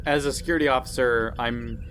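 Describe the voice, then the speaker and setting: A man's voice: medium pitch, fairly even intonation, fast, fairly bright tone, slight background noise. A man playing a tabletop role-playing game, talking through options casually and tentatively. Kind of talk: casual in-character game discussion. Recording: music playing behind the voice. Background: music.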